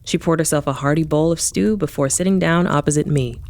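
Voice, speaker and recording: Wry, low-voiced female investigator. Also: brisk, darkish tone, a little hiss or hum. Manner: wry, irreverent, informal. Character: sardonic young woman engineer and paranormal investigator. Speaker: protagonist narrator. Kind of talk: first-person audio drama narration.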